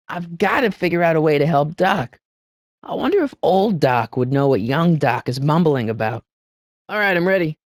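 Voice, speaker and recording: An excitable american teen boy, wisecracking game hero, voice jumps all over, super dry sound. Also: sits high, dry recording. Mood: casual, curious. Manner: slangy, youthful, exclamatory. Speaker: video game protagonist. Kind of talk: animated video game voice lines.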